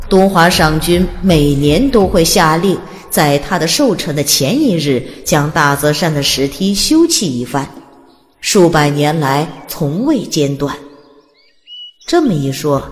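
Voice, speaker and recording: A woman reads scripted fantasy narration as a dramatic storyteller. Her voice is deep for a woman and richly modulated, set in a long reverb over quiet music. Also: animated, a little slow. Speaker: audiobook narrator. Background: soft music.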